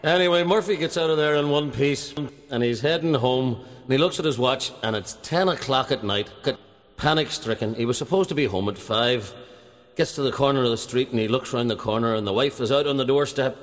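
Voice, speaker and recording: A man spins a long comic yarn and works each bit toward its punchline. His voice sits at an ordinary middle pitch. A long hall reverb follows every phrase. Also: brisk. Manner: storytelling, building to punchlines. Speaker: joke teller. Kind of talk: telling a long comic joke.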